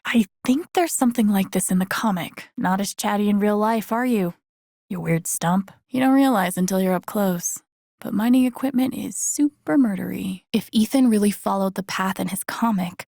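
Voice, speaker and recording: An actress voicing a wry, caring young woman in a video game, muttering to herself in a soft, understated, dryly musing way. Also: medium pitch, normal speed, vocal fry, dry recording, clean studio sound. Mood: wry, deadpan. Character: young woman, wry, caring, thinking aloud while searching. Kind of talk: video game voice acting, muttered self-talk while exploring.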